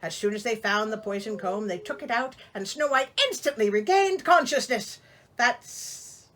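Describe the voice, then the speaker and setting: A woman's voice: fairly high pitch, lively intonation, moderate pace, a bit bright, slight background noise. A woman reading a storybook aloud in a theatrical way, breaking in with sarcastic, exasperated comic asides. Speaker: storyteller, host of a reading show.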